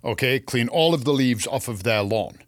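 A man's voice: medium pitch, lively intonation, moderate pace, no echo. Canadian man telling casual stories, dry sound. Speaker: co-host of a gardening show. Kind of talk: casual chat with anecdotes.

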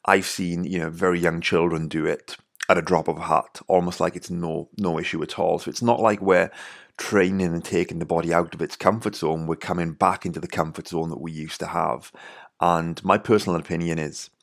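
Welsh guy hosting a podcast, deep voice, pretty monotone, rambles with lots of you knows. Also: brisk, creaky. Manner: rambling, full of "you know" and restarts. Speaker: podcast host. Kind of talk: casual podcast intro monologue.

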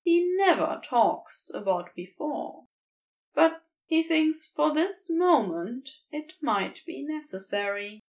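A woman reading a story aloud as an expressive narrator, recorded a little way from the microphone. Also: high voice, slow pace, distant mic. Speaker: fan fiction audio reader.

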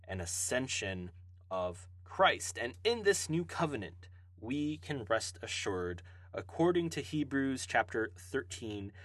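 A man's voice: on the low side, a bit bright, no echo. A man from Hawaii hosting a podcast, answering listener Bible questions in an earnest, explaining way with some fillers.

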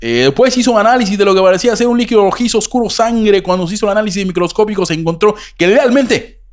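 Man acting out excitable doctor, mock Cuban accent, raised pitch, scientific testimony, comic impression. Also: quick pace. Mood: mock-earnest. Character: excitable Cuban doctor testifying about alien tissue samples. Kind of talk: comic impression on a talk show.

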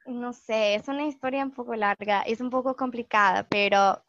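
A young woman whose first language is English, talking slowly and thoughtfully in learned Spanish as a podcast guest, with many "am" fillers and restarts. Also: a little high, fairly bright tone, no echo. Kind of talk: casual conversation in learned Spanish. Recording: dull, dry lo-fi recording.

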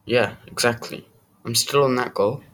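A man's voice: medium pitch, normal speed, fairly bright tone, slight background noise, natural voice. A young male student playing a defensive, stubborn teenage basketball player in an audio drama. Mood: uneasy.

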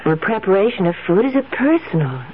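A woman's voice: mid-range voice, very animated, a little fast, crowd noise, natural voice. An actress playing a doting, eccentric rich wife who coos to her cat in a 1970s radio drama. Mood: sweet, chatty. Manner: gushing, cooing. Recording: dull lo-fi 1970s radio recording with hiss.

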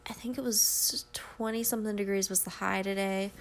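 A woman's voice: medium pitch, steady tone, normal speed, vocal fry. A woman in her twenties, nasal and stuffy from a cold, chatting casually and rambling apologetically on her solo podcast from home. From Ohio, USA. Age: 20s. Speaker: podcast host. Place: at home beside her dog.

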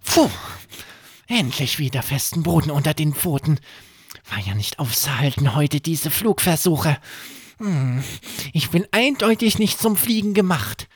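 A small plush rabbit come to life, acted by a man for a children's bedtime story. The voice is husky and recorded very dry. The rabbit chatters in a grumbly, casual way, matter-of-fact and unfazed. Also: fairly high pitch, lively intonation, a little slow, dry recording, voice pushed up. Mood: grumbling, relieved.

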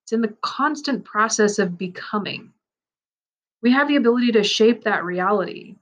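A woman narrating a man's first-person story aloud, in a measured, reflective and earnest way. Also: medium pitch, lively intonation.

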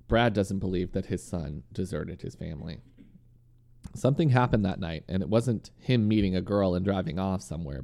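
Low-voiced man with a slight lisp. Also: creaky, mellow. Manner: swears when flustered. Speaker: podcast co-host. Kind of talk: reading a news story aloud between banter.

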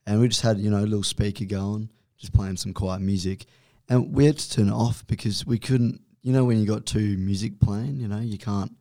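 A man with an Australian accent and a hoarse, raspy voice, co-hosting a casual podcast in an easygoing way. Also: lowish voice, fairly even intonation, fairly quick, few pauses, dark tone, no room sound. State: hoarse from yelling. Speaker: podcast co-host. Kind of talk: casual chat.

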